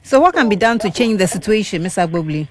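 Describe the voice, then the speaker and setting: A woman presenting radio news who asks her guest brisk, formal questions. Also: medium pitch, lively intonation, a little hiss or hum. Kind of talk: news interview.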